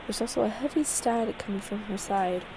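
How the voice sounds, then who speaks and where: A woman's voice: medium pitch, fairly quick, noisy background. A woman reading a visual novel aloud as a game video creator, narrating dramatically and expressively with casual asides.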